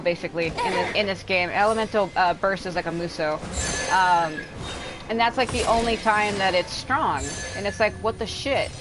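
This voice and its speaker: Woman livestreamer with music playing behind. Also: medium pitch. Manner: blunt, frequent swearing; rambling, complaining. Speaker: livestreamer playing a video game. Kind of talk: casual gameplay commentary.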